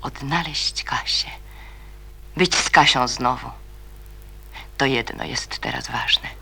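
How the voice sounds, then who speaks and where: A serious radio play narrator reading literary prose. The voice is gravelly with fry yet bright and forceful, at a middling pitch. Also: takes it slowly, in a whisper, full character voice. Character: serious storyteller narrating a youth novel.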